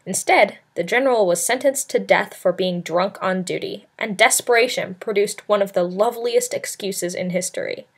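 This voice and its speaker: Woman, medium-pitched voice, storybook narration, amateur audiobook reader, scripted read-aloud. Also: moderate pace, hardly any pauses, breathy edge.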